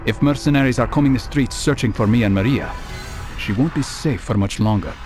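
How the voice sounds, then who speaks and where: An actor playing a calm, principled assassin in a video game, speaking in a measured, formal, eloquent way. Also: male voice, medium pitch, lightly acted. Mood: cool, resolute. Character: calm, principled male assassin, philosophical and resolute. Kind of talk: video game cutscene voice acting. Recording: music behind the dialogue.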